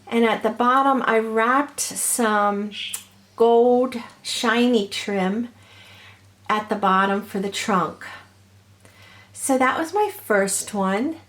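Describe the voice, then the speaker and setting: Slightly hoarse woman, higher pitch, very unhurried meandering craft-tutorial delivery. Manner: gentle, meandering, many ums and uhs. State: has a cold, a little hoarse. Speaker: crafter showing her ornaments. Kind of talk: slow, chatty craft tutorial.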